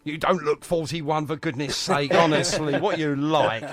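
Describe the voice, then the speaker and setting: A man's voice: a little high, normal speed, a bit bright, soft background music. A man hosting a live radio interview in an effusive, jokey way, chatting to guests. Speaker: radio host.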